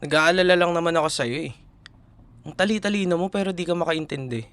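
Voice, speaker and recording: A man's voice: a little high, fast talker, a bit bright, smooth, lightly acted. A man acting as caring, exasperated young Filipino boyfriend. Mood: frustrated, hurt. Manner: casual Taglish, coaxing and earnest. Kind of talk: boyfriend roleplay audio, intimate conversational acting.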